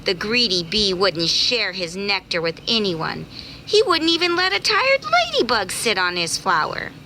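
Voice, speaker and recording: A woman's voice: mid-range voice, sing-song, unhurried, sharp tone, a little hiss or hum, poor audio. A woman reading a children's story aloud expressively, drawing out words dramatically for young listeners.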